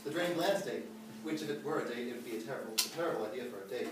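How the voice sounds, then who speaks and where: A man's voice: medium pitch, expressive, racing, very noisy, close to an everyday voice. A man playing a naive, earnest young mama's boy in a live comic radio play, awkward and eager to please. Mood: awkward, flustered. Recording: roomy, distant live venue recording, loud piano.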